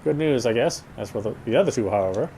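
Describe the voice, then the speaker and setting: Man, medium pitch, rapid delivery, dramatic read-aloud game narration, occasional stumbles. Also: expressive, dark tone, slight background noise. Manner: stumbles over words and rereads phrases. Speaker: game player reading story text aloud. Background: game music.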